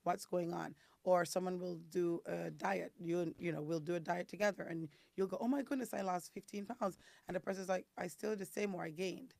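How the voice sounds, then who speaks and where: A woman from the Caribbean with a raspy, hoarse voice, talking as a guest and explaining things step by step with frequent ums. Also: fairly low pitch, moderate pace, few pauses, darkish tone, no room sound. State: hoarse from detox. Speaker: guest, detox specialist. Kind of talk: casual interview talk.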